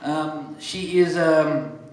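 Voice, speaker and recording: South african guy mc'ing a comedy club, deadpan jokes, kinda high voice, super echoey. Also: extremely slow. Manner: deadpan one-liners, chatty asides to the crowd. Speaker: comedy show MC. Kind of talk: stand-up compering. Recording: very echoey room.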